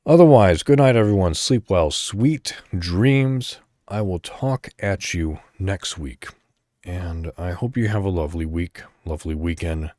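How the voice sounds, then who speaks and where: Deep-voiced man rambling on a podcast. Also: a little slow, a little mellow, dry recording, clean studio sound. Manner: rambling, thinking aloud, mild swearing. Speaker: podcast host. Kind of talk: casual solo podcast chat.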